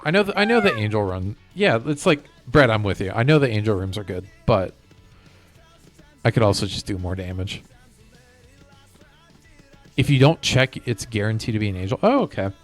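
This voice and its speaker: A man's voice: lowish voice, expressive, normal speed, long pauses, soft background music. A man chatting casually on a gaming live stream, rambling as he hops from one thought to the next. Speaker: game streamer.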